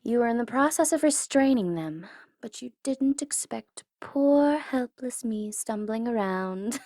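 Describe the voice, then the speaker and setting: A woman's voice: a little high, expressive, measured pace, dry recording, studio quality. Woman acting a swooping, taunting tsundere supervillainess. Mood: gloating. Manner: taunting and condescending, slipping into flustered softness and whispers. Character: teasing, tsundere female supervillain flustered by her captive hero. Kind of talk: ASMR audio roleplay acting.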